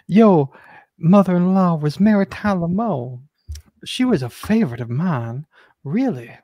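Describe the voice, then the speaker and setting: A woman acts out an effusive female clerk in a live dramatic reading of her letters. The voice is gushing and a little husky, recorded dry with hardly any room around it. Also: lowish voice, lively intonation, a little slow, dark tone, dry recording.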